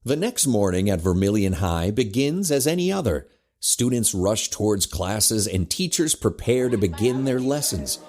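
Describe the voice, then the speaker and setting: A man's voice: lowish voice, big pitch swings, moderate pace, darkish tone. A man reading scripted narration for an audio drama as its narrator. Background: music.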